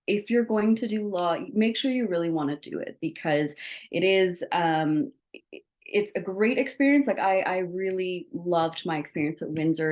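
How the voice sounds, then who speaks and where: Young woman from Sri Lanka, lawyer guest; low voice, measured and reflective, lots of ums. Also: a little mellow. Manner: reflective, measured, many fillers. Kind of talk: interview, career talk.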